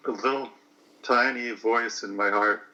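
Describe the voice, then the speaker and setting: A man in his late forties talking slowly through a personal reflection, hesitant and searching for words. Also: mid-range voice, fairly even intonation, pushed and bright, highs cut off. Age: 40s.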